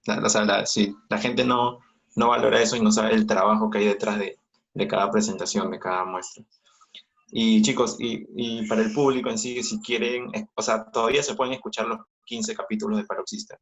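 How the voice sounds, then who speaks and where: A man's voice: fairly low pitch, flat delivery, brisk, creaky, fairly bright tone. A man hosting a casual interview, hesitant and often repeating his words. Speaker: interview host. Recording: dull, dry online call audio.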